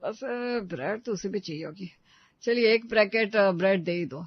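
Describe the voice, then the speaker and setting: A sarcastic, grumbling middle-aged husband, as a man plays him, with a fairly high voice. He sounds tired of his wife's schemes. The sound is dry and dull, like a studio voice-over. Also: normal speed, husky, dry recording.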